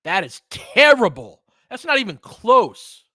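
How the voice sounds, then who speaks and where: Excitable man calling basketball play-by-play. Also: extremely high pitch, measured pace, no echo, clean studio sound. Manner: clipped phrases, excitable, swings from muttering to yelling. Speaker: play-by-play commentator and fan analyst. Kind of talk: live basketball play-by-play commentary, casual watch-along.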